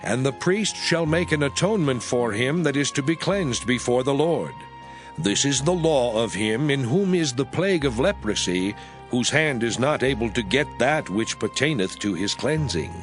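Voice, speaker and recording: A man's voice: medium pitch, lively intonation. Guy narrating scripture, solemn, mid voice with lots of rise and fall, music behind. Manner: solemn, deliberate. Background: background music.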